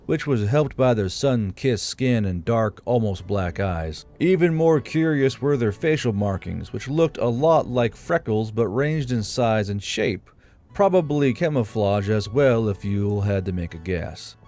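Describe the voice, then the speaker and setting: A man's voice: on the low side, lively intonation, unhurried. A man reading a scripted fantasy story aloud as an audiobook narrator. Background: soft background music.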